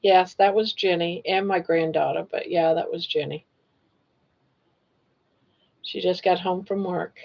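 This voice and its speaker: A woman's voice: lowish voice, steady tone, measured pace, vocal fry, long pauses, a little mellow, highs cut off. A woman in her sixties hosting a casual live stream, chatting and joking and talking sweetly to her pets. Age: 60s. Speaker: livestream host.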